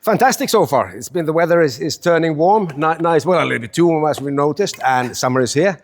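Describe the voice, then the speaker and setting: A man's voice: fairly high pitch, lively intonation, fast. A man in his fifties from Finland, a podcast guest chatting casually and telling stories with self-deprecating jokes and some restarts. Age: 50s. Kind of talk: casual chat.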